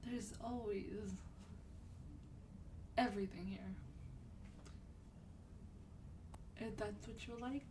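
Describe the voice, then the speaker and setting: Woman playing a slow, soft-spoken vampire lady, noisy recording. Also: mid-range voice, natural voice. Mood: gracious, polite. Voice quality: soft, breathy, partly whispered. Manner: gentle, polite, unhurried, coaxing. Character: sweet, teasing, courtly female vampire demon, lovestruck. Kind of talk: soft-spoken ASMR roleplay. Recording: distant mic, clear background noise.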